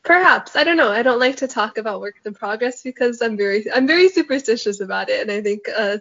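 A woman novelist, a native Mandarin speaker, answering interview questions in a reflective, measured way with small hesitations. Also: on the high side, expressive, vocal fry, a bit bright. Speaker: novelist guest. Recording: dull, lo-fi sound.

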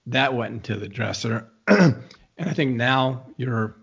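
A man interviewing an author in a relaxed, conversational way, asking long, meandering questions full of restarts and hedges. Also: medium pitch, takes it slowly, gravelly, breathy edge, dull audio. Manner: rambling, searching questions with many restarts.

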